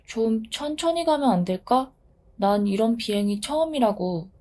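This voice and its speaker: A woman's voice: on the high side, animated, normal speed, mellow, pitched above the performer's own voice. A woman voicing a timid, childlike dandelion seed that has just woken up, speaking in a small pleading voice. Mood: anxious.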